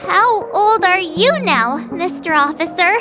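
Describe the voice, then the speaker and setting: Game role: small inquisitive girl, extremely high, unhurried, cheeky and skeptical, with music underneath. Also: animated. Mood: curious. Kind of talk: video game voice acting. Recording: music behind the voice.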